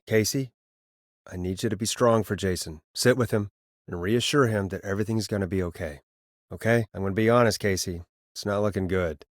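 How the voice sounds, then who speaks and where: A man playing a steady, weary radio host who guides callers through an emergency in a video game, speaking in an earnest, conversational, slightly hesitant way. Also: lowish voice, no room sound, studio quality, lightly acted. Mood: reassuring, steady, then somber, apologetic. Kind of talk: naturalistic video game voice acting.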